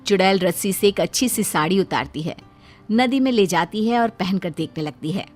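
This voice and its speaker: A woman's voice: mid-range voice, animated, normal speed, a little mellow, faint music behind. A woman storyteller reading a scripted tale as narrator for a children's story.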